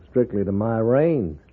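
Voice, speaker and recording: An actor playing a cordial but stubborn wealthy rancher with a husky voice and American accent, folksy and emphatic, in 1950s radio style. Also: male voice, fairly low pitch, very animated, takes it slowly, exaggerated voice. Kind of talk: 1950s Western radio acting. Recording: narrow-band 1950s radio recording.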